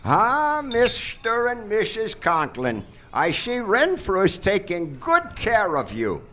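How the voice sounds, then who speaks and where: A man's voice: high voice, huge pitch swings, takes it slowly, sharp tone, exaggerated voice. A man playing a smug, overly courteous doctor with a formal, unctuous manner in a live radio comedy.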